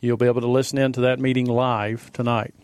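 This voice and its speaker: A man co-hosting a morning religious radio show, giving event announcements in a steady, measured way. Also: mid-range voice, clean tone, no room sound, clean studio sound. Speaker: radio co-host. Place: radio studio.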